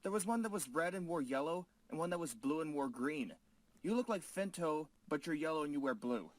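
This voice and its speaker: A man's voice: a little high, expressive, brisk, lightly acted. A man voicing a curious young male rabbit in an amateur cartoon, asking lots of questions.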